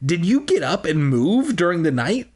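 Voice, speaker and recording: Man putting on a suspicious, bossy young girl, swooping pitch. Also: sing-song, moderate pace, darkish tone. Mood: puzzled, scolding.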